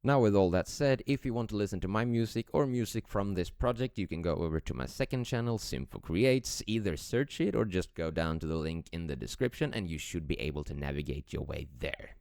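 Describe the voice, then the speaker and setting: Deep, smooth-voiced man, very dry sound. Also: a little fast, few pauses, a little mellow, dry recording. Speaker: music composer. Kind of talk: casual prepared self-introduction and thanks.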